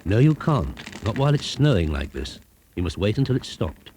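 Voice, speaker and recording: A man voicing a brisk, bossy female witch from a children's storybook in a commanding manner on old cassette tape. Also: fairly low pitch, very animated, darkish tone. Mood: firm. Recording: hissy 1980s cassette tape.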